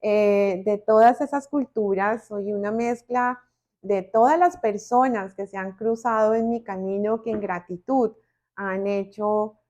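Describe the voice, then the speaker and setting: Podcast guest: middle-aged Colombian woman, mid-range voice, slow, deliberate delivery with thoughtful pauses. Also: mid-range voice, darkish tone. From Bogotá, Colombia. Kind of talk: reflective personal interview talk.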